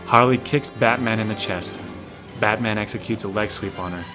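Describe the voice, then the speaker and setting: A man narrates an audio drama in scripted, present-tense action prose. His voice sits fairly low. Music is prominent underneath. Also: moderate pace.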